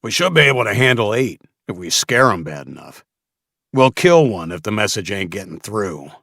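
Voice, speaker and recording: A man's voice: on the low side, sing-song, moderate pace, vocal fry, sharp tone, no room sound, heavily acted. Slaver crew leader, played by a male narrator: blunt, callous, dismissive, dry close sound. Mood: callous, commanding.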